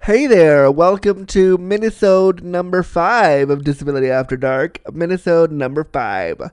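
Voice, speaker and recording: A man's voice: on the high side, moderate pace, darkish tone, clean tone, no room sound, studio quality. A man hosting a podcast, chatting casually and rambling, sniffly with a cold. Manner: chatty, rambling, repeats himself. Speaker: podcast host. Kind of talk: casual solo podcast chat.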